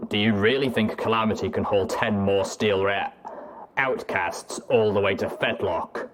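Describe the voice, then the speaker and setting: A man playing a gruff, stoic, weary soldier pony with a slow, measured delivery, for a story reading. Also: on the low side, close to an everyday voice. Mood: businesslike, firm. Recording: clearly noisy track with clicks.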